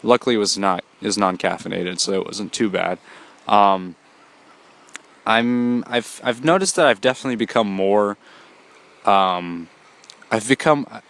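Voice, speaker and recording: A man's voice: lowish voice, a little slow, creaky, long pauses, a bit bright. A young man hosting a solo podcast, talking in a rambling casual way with many fillers and restarts, a bit congested from a cold. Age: young adult. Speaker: podcast host.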